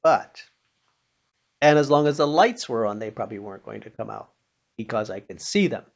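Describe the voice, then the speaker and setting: An older American man telling informal inspirational stories in a folksy, plain-spoken manner, pausing now and then. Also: medium pitch, expressive, creaky, dull audio. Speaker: storyteller sharing childhood memories with a religious lesson.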